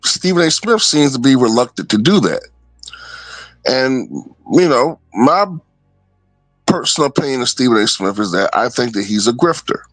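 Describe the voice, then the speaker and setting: A man's voice: mid-range voice, animated, moderate pace, long pauses, a bit bright, no echo, low-quality recording. A man giving casual, opinionated commentary off the cuff, often checking in with the listener. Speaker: online political commentator.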